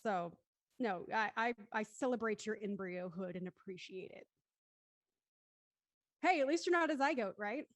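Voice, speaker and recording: A woman's voice: mid-range voice, animated, normal speed, pauses often, no room sound, studio quality. A woman hosting a casual moderated discussion, responding to guests and introducing them. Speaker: panel host.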